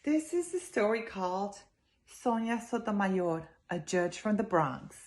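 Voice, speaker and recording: A woman reading a children's story aloud in an expressive, emphatic way, speaking directly to her young listeners. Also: mid-range voice, unhurried, slight background noise.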